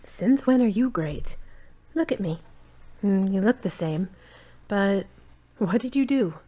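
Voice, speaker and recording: A woman's voice: medium pitch, lively intonation, slow, dark tone. A woman playing a direct, supportive girlfriend. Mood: curious, teasing. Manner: encouraging, direct, conversational. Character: supportive, forthright girlfriend of a troubled man. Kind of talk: amateur audio drama acting.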